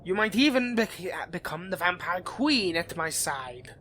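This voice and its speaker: A man's voice: high-pitched, very animated, unhurried, bright, forceful tone, slight background noise, heavily acted. A man playing a suave, scheming vampire aristocrat in a dramatic roleplay addressed to the listener, with courtly, haughty, theatrical phrasing. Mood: scornful, indignant.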